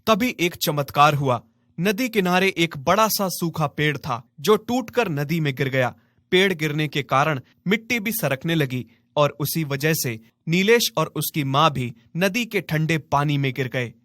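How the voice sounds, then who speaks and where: Dry-sounding male storyteller, fairly high voice. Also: animated, unhurried, fairly bright tone, no room sound. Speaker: narrator. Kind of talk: scripted moral-story narration.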